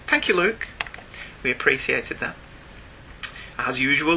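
Man, Northern English accent, higher-pitched, lively intonation, casual podcast host, jokey asides. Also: slight background noise, low-quality recording. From northern England. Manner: jokey, animated, digressing into asides. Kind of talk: casual comics chat and reading listener emails aloud.

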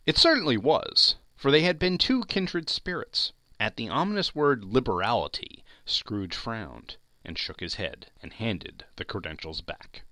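Animated man narrating a dramatic story. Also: medium pitch, unhurried, no room sound. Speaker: podcast host and storyteller.

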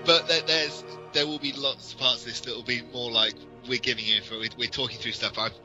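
A man's voice: medium pitch, steady tone, a little fast, dull audio, music in the background. A man co-hosting a podcast, explaining things in detail in a casual, discursive fan-discussion style. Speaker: podcast co-host.

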